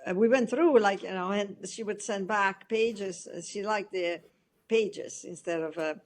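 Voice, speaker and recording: A middle-aged woman from Italy with an Italian accent, a guest author chatting in an interview, with a halting manner full of restarts and light laughs. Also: mid-range voice, slight background noise. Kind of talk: casual interview chat.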